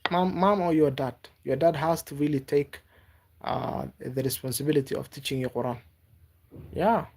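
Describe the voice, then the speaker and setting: A man from Africa, not a native English speaker, chatting live with viewers and giving gentle advice, halting and repeating words. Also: fairly high pitch, fairly even intonation, gravelly. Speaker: livestreamer. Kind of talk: casual live-stream chat with viewers.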